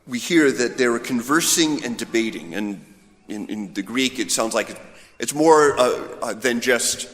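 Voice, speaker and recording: A man's voice: mid-range voice, expressive, unhurried, fairly bright tone. Male priest, conversational homilist, storyteller's delivery, long church reverb around the voice. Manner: storytelling, voicing imagined dialogue. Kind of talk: informal, conversational sermon. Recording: echoey hall with long reverb.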